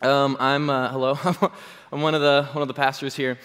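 A man, a pastor, giving a chatty Sunday sermon with a slightly hoarse voice and many fillers. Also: mid-range voice, flat delivery, normal speed, fairly bright tone. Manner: chatty, self-deprecating, full of fillers. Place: church hall. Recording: long hall reverb.

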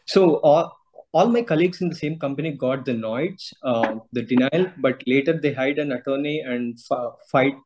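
Guy calling in w questions, normal pitch, a bit halting. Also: background noise. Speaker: caller seeking advice. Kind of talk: hesitant spoken questions.

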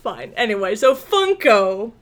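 A woman's voice: a little high, very animated, a little slow. A young woman co-hosting a podcast, chatting casually and teasing her friend, laughing often. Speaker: podcast co-host. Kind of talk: casual chat. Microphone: podcast microphone with spiking levels.

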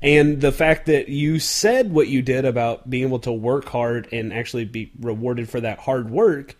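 A man's voice: mid-range voice, moderate pace. A young adult man hosting a casual podcast, loose and talkative, musing with fillers. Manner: loose and joking, then reflective with fillers. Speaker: podcast host.

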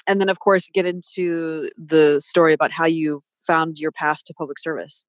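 A woman's voice: deep voice, fairly even intonation, moderate pace, no echo. A woman co-hosting a podcast, asking a guest questions in a casual, conversational way. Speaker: podcast co-host.

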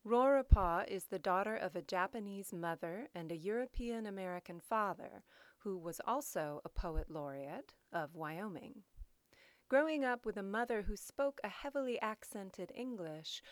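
Smooth, unhurried woman reading poetry. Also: mid-range voice, no echo. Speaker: poetry show host and reader. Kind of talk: literary poetry reading, scripted introduction.

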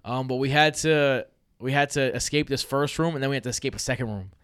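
A man's voice: mid-range voice, quick pace, smooth, no echo, clean studio sound. A man, hosting a fantasy football podcast in loose, joking chat with his brother. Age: 30s. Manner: animated, laughs often, loose and joking.

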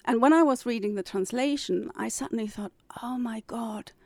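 A woman's voice: a little high, moderate pace, a little mellow, dry recording. Thoughtful German-born woman, dry sound. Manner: thoughtful, with many restarts and fillers. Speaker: publisher and novelist. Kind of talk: interview answers.

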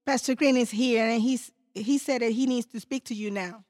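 An actress playing a dutiful, deferential household helper who answers obligingly. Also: female voice, on the high side, steady tone, moderate pace, a little mellow, dry recording, studio quality, big-room sound, close to an everyday voice.

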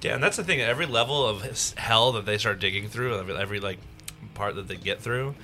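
Bright, forceful man chatting over music. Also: on the low side, quick pace. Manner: rambling, slangy storytelling. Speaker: podcast co-host. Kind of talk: casual film discussion among friends.

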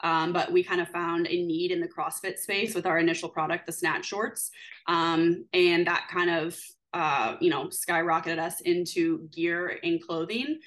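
A woman's voice: lowish voice, steady tone, a little slow, fairly bright tone. A woman guest on a podcast, speaking in friendly casual conversation with many fillers. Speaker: podcast guest, apparel company owner. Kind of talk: casual interview.

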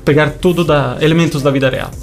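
A man's voice: fairly high pitch, fairly even intonation, fast, soft background music. A man from Italy speaking as an interview guest in non-native speech, with an Italian accent touched by Brazilian, explaining his work. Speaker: interview guest, visual show creator.

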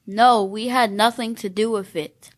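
A woman's voice: fairly high pitch, steady tone, measured pace, natural voice. A woman playing a kind but firmly unimpressed, plain-spoken schoolgirl in an amateur radio play, on a noisy recording. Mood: friendly. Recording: clearly noisy background ambience.

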